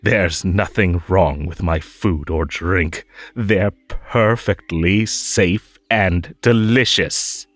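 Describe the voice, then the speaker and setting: A man playing a possessive magical tea-party host in an audio roleplay, over-polite and halting, with a menacing, unhinged edge under the sweetness. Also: low-pitched, a bit bright, dry recording, faint music behind, heavily acted. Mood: coaxing. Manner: halting, drawn-out, over-polite, swinging between coaxing and stern. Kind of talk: scripted roleplay audio drama.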